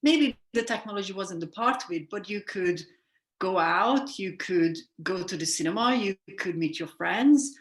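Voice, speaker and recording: A woman's voice: medium pitch, expressive, normal speed. Woman, roomy sound. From Hungary. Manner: earnest, reflective, self-correcting. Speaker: sleep coach guest. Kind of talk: explanatory interview talk. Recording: roomy echo.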